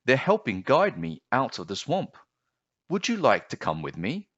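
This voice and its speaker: A man's voice: mid-range voice, wildly swooping pitch, normal speed, fairly bright tone, breathy edge, no echo, lo-fi sound, voice pushed up, exaggerated voice. A man voicing a young boy in a storybook, earnest, hesitant and full of wonder. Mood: wondering, eager. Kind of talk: storybook character voice.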